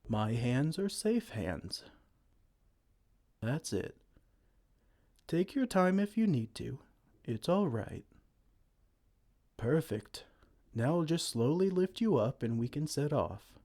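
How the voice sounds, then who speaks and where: Here is a man playing a kind, gentle giant who looks after a tiny human, his voice soft and soothing with tender pet names. His tone is mellow and dark. He speaks slowly, and his pitch rises and falls warmly in this ASMR roleplay. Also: sing-song, heavily acted.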